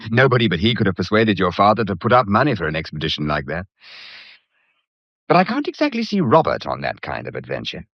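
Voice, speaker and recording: An actor with a British accent playing a breezy, blunt, gallant painter in a period radio drama, full of jaunty, slangy, teasing banter. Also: male voice, low-pitched, wildly swooping pitch, fast talker, no echo, exaggerated voice. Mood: wry, protective. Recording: dull, muffled lo-fi audio.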